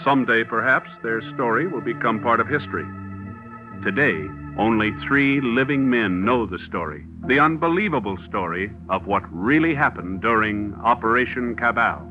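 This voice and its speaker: A man's voice: medium pitch, expressive, moderate pace. A man announcing a 1950s radio drama with a grand, portentous delivery. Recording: lo-fi old radio broadcast with organ music.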